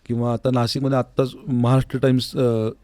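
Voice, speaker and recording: A man's voice: medium pitch, little pitch movement, brisk, darkish tone, clean tone, no room sound. Earnest man, close dry sound. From Maharashtra, India. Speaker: interview guest, classical vocalist. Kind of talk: conversational interview answers. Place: recording studio.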